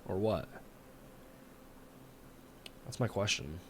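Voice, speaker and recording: A man's voice: deep voice, takes it slowly, a little hiss or hum. A man hosting a reaction video, talking conversationally and thinking aloud as he watches. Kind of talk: casual reaction commentary. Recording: music playing behind the voice.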